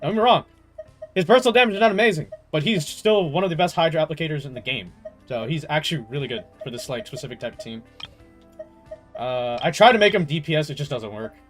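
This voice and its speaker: A young man chatting casually on a game livestream in an animated, slangy way, swearing freely. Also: on the high side, fast, soft background music. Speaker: game streamer.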